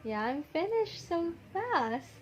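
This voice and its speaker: A woman from the Philippines with a Filipino accent, telling a story in a casual, chatty, animated and giggly way. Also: very high-pitched, slow, highs cut off. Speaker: vlogger recounting her visa experience. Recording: faint background noise.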